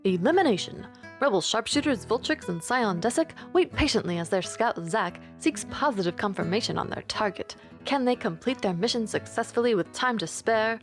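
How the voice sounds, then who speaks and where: A woman's voice: fairly high pitch, lively intonation, normal speed. A woman reading a scripted episode introduction as an announcer. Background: faint guitar music.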